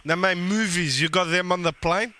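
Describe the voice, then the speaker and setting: A man's voice: high-pitched, normal speed, noisy background, close to an everyday voice. A man with an Australian accent playing a brash, cocky young muscle-bound bloke who makes pushy, bragging prank calls and says "mate" constantly. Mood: boastful. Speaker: prank caller. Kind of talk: prank call comedy character.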